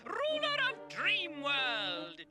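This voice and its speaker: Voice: high voice, huge pitch swings, extremely slow, heavily acted. An actor playing a tiny, annoying imp who rules a dream world, an imperious cartoon villain. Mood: imperious, menacing. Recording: cartoon clip with loud music.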